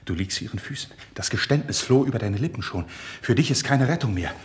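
An actor in a 1950s German radio drama playing a noble, self-sacrificing friend, speaking verse in a solemn, impassioned and declamatory way. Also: male voice, mid-range voice, lively intonation, quick pace, natural voice. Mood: anguished, impassioned.

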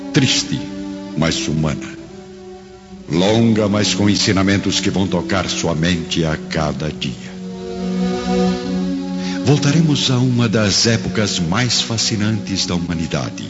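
A Brazilian man narrating a radio drama in a solemn, theatrical way, with weighty pauses. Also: deep voice. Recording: dull lo-fi, long hall reverb. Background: prominent background music.

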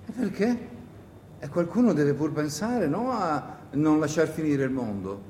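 A man's voice: fairly high pitch, expressive, unhurried, mellow. A male actor voicing a young seamstress, kindly, curious and matter-of-fact, with brief, simple questions and replies in a stage play. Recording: echoey theatre hall with faint noise.

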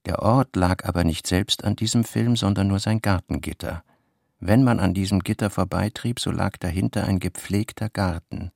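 A very low male voice with heavy gravel narrates a literary radio play. He sounds brooding, and every word comes out close and dry. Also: moderate pace, a little mellow, no room sound. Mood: measured narration.

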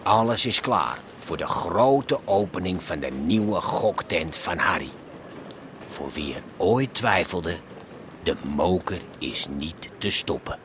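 A man narrating a radio drama in a solemn, measured way. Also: deep voice, animated, noisy background.

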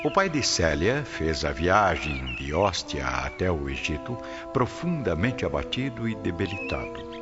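A man with a Brazilian accent narrating a radio drama in a solemn, literary, measured style. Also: low voice, very animated. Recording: dull lo-fi audio under loud music.

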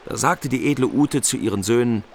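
A man narrating a medieval epic in a German radio play, composed and clear in his storytelling. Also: medium pitch, fairly quick, a little hiss or hum, close to an everyday voice.

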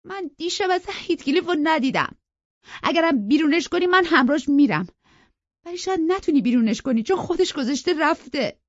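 A narrator as a shrill, willful young woman. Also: animated, no echo, highs cut off. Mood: tearful, defiant. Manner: shrill, indignant. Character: willful, hot-tempered young woman, tearful and defiant.

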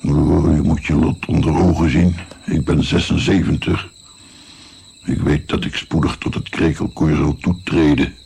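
An actor playing a weary, guilt-ridden grandfather of seventy-six in a radio drama, speaking in a brooding, pausing way with a gravelly voice. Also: a bass voice, little pitch movement, warm tone, exaggerated voice. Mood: brooding, remorseful. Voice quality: gravelly, creaky. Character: weary, guilt-ridden 76-year-old Italian-born grandfather. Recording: faint noise, night crickets. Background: chirping crickets.

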